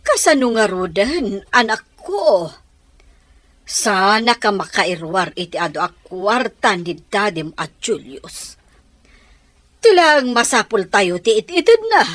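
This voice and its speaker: A woman's voice: mid-range voice, big pitch swings, takes it slowly, a bit bright, no room sound, exaggerated voice. A woman playing a scheming, coaxing mother in a theatrical Ilocano radio drama. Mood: chiding. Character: scheming mother asking a man for money.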